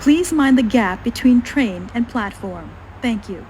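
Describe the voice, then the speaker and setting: Slow, high-voiced woman announcing train stops. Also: expressive. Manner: formal, measured, clearly enunciated. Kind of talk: scripted public transit announcement. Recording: train announcement with loud cabin noise. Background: train running noise.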